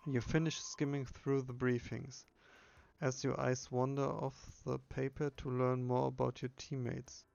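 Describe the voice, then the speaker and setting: A man reading a text adventure game aloud as narration, with a subdued, flat delivery. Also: mid-range voice, unhurried, vocal fry, dull audio.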